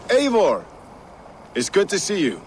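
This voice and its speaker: A lively, friendly companion. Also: male voice, high-pitched, unhurried, noisy background, heavily acted. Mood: glad. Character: friendly male city companion.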